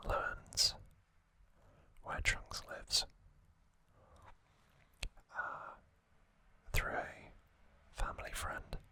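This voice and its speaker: A man whispering trivia softly for an ASMR video, close to the microphone, with frequent ums and uhs. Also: very slow, long pauses. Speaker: ASMR channel host.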